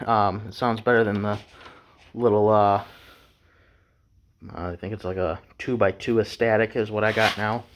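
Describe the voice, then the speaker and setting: A man's voice: lowish voice, a little hiss or hum. A man giving a casual, unscripted hands-on DIY tutorial in a laid-back, folksy way. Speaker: DIY how-to presenter.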